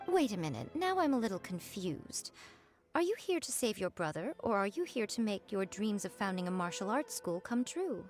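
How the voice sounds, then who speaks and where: A teasing, aloof priestess from a video game, voiced by an actress. Her mid-pitched female voice is wry and languid, with playful condescension in the delivery. Music sits underneath. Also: sing-song, normal speed, natural voice. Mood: skeptical. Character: sly, teasing, aloof shrine priestess lady.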